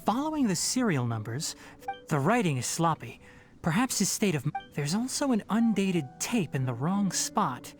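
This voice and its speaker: A woman voicing a composed young scholarly researcher in a game, speaking in a measured, analytical way. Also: fairly low pitch, very animated. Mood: pensive. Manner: measured, matter-of-fact, analytical. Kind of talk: game dialogue acting. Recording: light music behind the voice.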